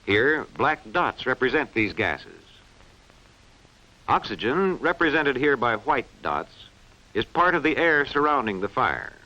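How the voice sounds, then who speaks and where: A man narrates an instructional film with a bright, forceful voice. His intonation rises and falls in an animated way as he explains each point precisely and didactically. The sound is muffled and band-limited, like a vintage film soundtrack. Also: medium pitch, unhurried. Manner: clearly enunciated, didactic delivery. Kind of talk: scripted educational film narration.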